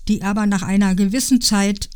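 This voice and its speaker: Older woman calmly reading her memoir. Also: mid-range voice, a little fast, clean tone. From Berlin, Germany. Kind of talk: reading her own written story.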